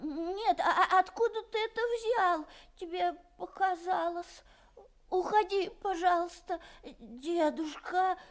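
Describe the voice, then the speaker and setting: A woman's voice: very high voice, takes it slowly. A woman voicing a stubborn, whiny young boy donkey in an audio fairy tale, pleading and stammering. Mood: frightened, pleading.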